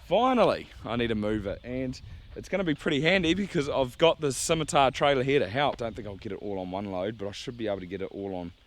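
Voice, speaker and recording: A man, hoarse from a sore throat, chatting casually to camera while walking a farm paddock. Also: mid-range voice, quick pace. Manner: relaxed, rambling, thinking aloud. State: hoarse, losing his voice. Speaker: farmer vlogger.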